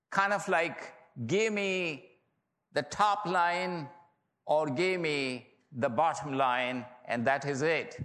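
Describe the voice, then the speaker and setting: Pashto-accented male preacher, very slow, reflective sermon in a reverberant hall. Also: fairly high pitch, a bit bright. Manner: reflective, unhurried storytelling. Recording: reverberant hall, distant mic.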